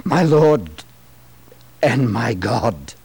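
A man's voice: medium pitch, sing-song, drawn-out words, vocal fry, a little mellow, breathy edge, exaggerated voice. A man voicing a doubting male apostle with emphatic scriptural diction in a 1960s religious radio drama. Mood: awed. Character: doubting apostle Thomas, scornful, incredulous man.

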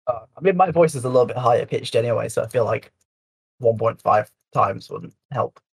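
Soft-spoken man with a light Yorkshire accent. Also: mid-range voice, a little fast, gravelly, slightly rough, dry recording. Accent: light Yorkshire English. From Yorkshire, England. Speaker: speedrunner guest. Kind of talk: casual chat.

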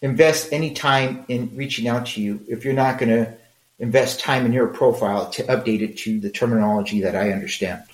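A man's voice: medium pitch, fairly quick, reverberant, poor audio. A middle-aged man with a Mexican accent, talking frankly and conversationally in a solo podcast, with rambling asides. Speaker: podcast host.

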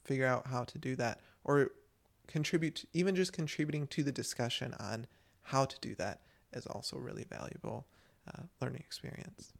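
A man's voice: medium pitch, normal speed, creaky. A man co-hosting a developer podcast, chatting casually and rambling with frequent restarts. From United States. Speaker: podcast co-host. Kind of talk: casual chat.

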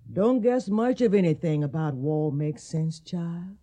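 A woman's voice: on the low side, animated, a little slow. A woman playing an older, motherly Southern mammy in a radio drama, plain-spoken and soothing. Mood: reassuring.

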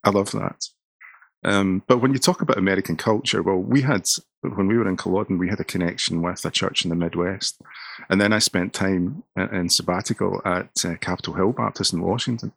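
A middle-aged Scottish man with a very low, gravelly voice that has a husky edge. He is a pastor talking earnestly in a conversation and often doubles his words as he starts a sentence. The sound is close and dry. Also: a little fast, a bit bright, no room sound. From Scotland. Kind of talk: conversational interview.

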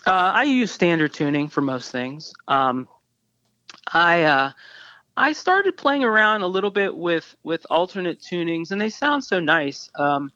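A man in his early fifties, a guest musician in a casual interview, talking in a rambling way with many restarts and fillers. His voice sounds high for a man. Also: sing-song, unhurried, pushed and bright, no room sound, highs cut off. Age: 50s. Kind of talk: casual interview about guitars.

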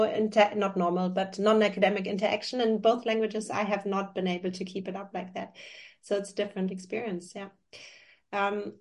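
A woman with a German accent, a native German speaker, talking as an academic guest in a conversational interview, thoughtful and hedging with many fillers. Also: medium pitch.

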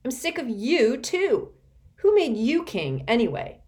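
A woman's voice: sits high, sing-song, normal speed, voice pushed up. A woman reading an audiobook, voicing a cranky, petulant little girl who whines and sneers. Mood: cranky, shrill. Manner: whiny, sneering and shouty. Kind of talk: audiobook character voice.

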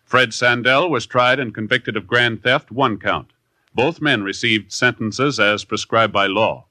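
A man announcing formally and solemnly, in measured delivery, in a 1950s radio style. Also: on the low side, sharp tone, no echo. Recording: vintage 1950s radio broadcast. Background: music.

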